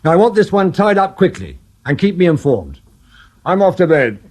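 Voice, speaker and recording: An actor playing a brisk, authoritative male police superintendent in a radio drama. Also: high voice, big pitch swings, a little mellow.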